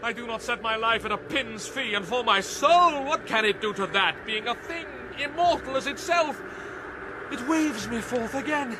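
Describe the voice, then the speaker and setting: A man's voice: very high voice, animated, normal speed, background noise. A man playing an anguished, reckless young prince in a radio drama, with theatrical, declamatory delivery. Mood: defiant. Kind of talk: classical radio drama acting.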